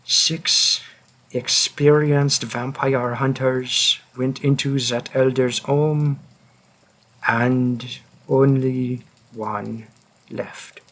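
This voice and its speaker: Man as ancient male vampire hunter, remorseful, brooding, audio roleplay monologue, extremely slow halting pace, medium pitch. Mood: haunted, grieving. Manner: slow, halting, brooding delivery with long pauses.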